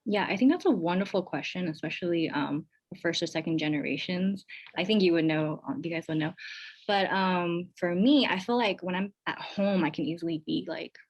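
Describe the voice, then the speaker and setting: A woman's voice: lowish voice, a little fast, husky, poor audio. A young woman with an American accent, a podcast guest, chatting casually with many fillers. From born in Taiwan, raised in the United States.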